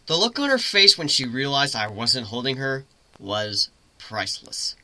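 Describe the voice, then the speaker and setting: A man's voice: medium pitch, sing-song, unhurried, sharp tone, dry recording. A man giving a dramatic first-person reading of a fantasy story as its narrator. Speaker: story reader.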